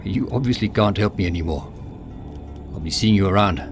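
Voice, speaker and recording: A man's voice: deep voice, brisk, music in the background. An actor playing a blunt, impatient male wanderer in a video game, curt and dismissive. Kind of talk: scripted video game voice acting.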